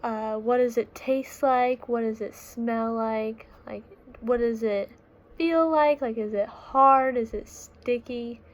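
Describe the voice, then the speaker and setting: High-voiced woman reading to children, unhurried. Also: steady tone. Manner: simple, questioning, explanatory, as to young children. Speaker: read-aloud storyteller. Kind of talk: children's picture book read-aloud with questions to listeners.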